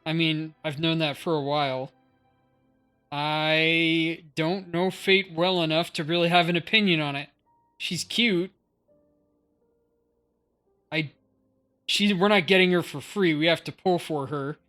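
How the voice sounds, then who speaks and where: A woman's voice: sits low, fairly even intonation, a little slow, pauses often, a bit bright, soft background music. A woman live-streaming a video game, chatting casually and informally with viewers. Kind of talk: casual chat, game commentary.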